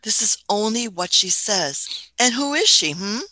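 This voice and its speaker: A woman plays an elderly, curious adventurer for a radio play, with a bright, forward voice. The delivery swings up and down, full of fussy little hums and questions. The sound is dry and close. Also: mid-range voice, expressive, measured pace, dry recording. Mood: musing, fussy. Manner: hemming, questioning, punctuates with hmm and uh. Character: elderly Doctor, inquisitive, fussy time-travelling adventurer.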